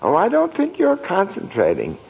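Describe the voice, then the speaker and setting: An actor playing a kindly, innocent, very old guardian angel in an old-time radio drama, speaking in a quaint, genteel, earnest manner. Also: male voice, high-pitched, wildly swooping pitch, normal speed, creaky, slightly rough, heavily acted. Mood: mysterious, explaining. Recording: muffled old radio recording, long reverb.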